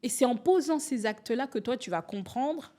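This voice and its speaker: A woman in her forties, from Angola, speaking candidly as a podcast guest, telling stories and acting out quotes with lively restarts. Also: on the high side, normal speed. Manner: animated, storytelling, restarts and acts out quotes. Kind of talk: candid conversational interview.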